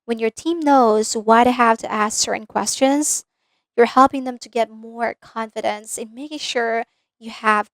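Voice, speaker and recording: Woman, Filipino accent, slightly high voice, direct teaching manner, dry close sound. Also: no echo, low-quality recording. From Philippines. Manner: direct, asks the viewer checking questions. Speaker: business coach. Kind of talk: scripted instructional video.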